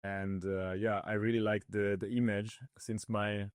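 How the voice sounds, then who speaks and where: A man's voice: fairly low pitch, level tone, unhurried, clean tone, dry recording, clean studio sound. A man from northern Italy, raised in Switzerland, whose first language is Italian, talking through a casual interview with many fillers and restarts. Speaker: ski builder guest.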